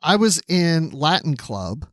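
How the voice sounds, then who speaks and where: A man's voice: fairly high pitch, very animated, slow, no room sound, clean studio sound. A man co-hosting a podcast in casual, chatty conversation, readily agreeing with his partner. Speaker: podcast co-host.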